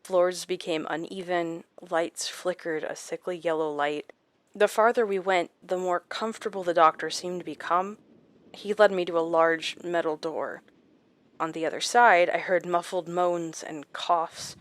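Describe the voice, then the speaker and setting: An actress playing a shaken woman recounting her captivity in a horror audio drama, halting and dramatic with deliberate pauses. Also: lowish voice, fairly even intonation, lightly acted. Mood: tense, haunted. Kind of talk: first-person horror audio drama narration.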